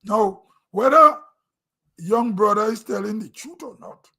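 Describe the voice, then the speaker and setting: A man's voice: sits high, animated, measured pace, sharp tone. A middle-aged Grenadian man with a Grenadian accent, giving a rambling, conversational opinion monologue on his own online show. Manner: rambling, conversational, with throat-clearing and restarts.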